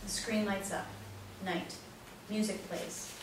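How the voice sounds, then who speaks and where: A woman's voice: mid-range voice, steady tone, slow pace. A woman narrator reading out the stage directions of a play as scripted narration. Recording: very echoey, distant, noisy room recording.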